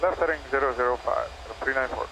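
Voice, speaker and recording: Brisk male pilot on aircraft radio. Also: mid-range voice, gravelly, background noise. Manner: brisk, routine readbacks. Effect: radio transmission. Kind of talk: radio readbacks.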